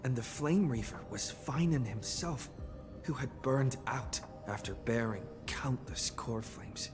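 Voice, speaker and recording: A man playing a grave young hero who narrates with measured solemnity in a video game. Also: mid-range voice, animated, close to an everyday voice. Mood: solemn, resolute. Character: solemn young male hero recounting a doomed world's fate. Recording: game music behind the voice.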